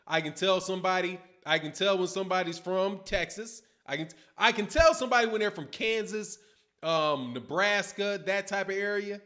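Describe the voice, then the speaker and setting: A man's voice: high voice, normal speed, sharp tone. A middle-aged man with a Chicago accent, hosting a boxing livestream in loose, slangy casual chat while reading viewer comments. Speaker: boxing channel host. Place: dim room at home, indoors. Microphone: webcam, seated at desk.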